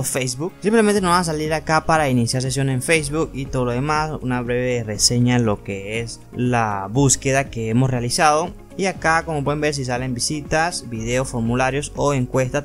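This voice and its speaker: A man's voice: medium pitch, fairly quick, hardly any pauses. A man with a Venezuelan accent narrating an informal screen tutorial, explaining step by step and addressing viewers as friends. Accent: Venezuelan Spanish. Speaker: tutorial channel host. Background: background music.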